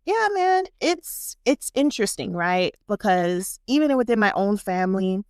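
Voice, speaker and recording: A woman from Miami with a South Florida accent, a podcast guest talking in an animated, reflective way and laughing often. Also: mid-range voice, a little slow, clear, resonant tone, dry recording, studio quality. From Miami, Florida, United States. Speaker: podcast guest, social worker. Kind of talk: casual conversation.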